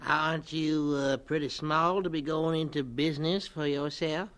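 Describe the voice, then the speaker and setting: A male actor playing a doubtful, hard-bargaining but grudgingly kind small-town shop seller in a radio drama, hesitant and gruff. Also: a little high, fairly even intonation, moderate pace, a bit bright, slight background noise, natural voice.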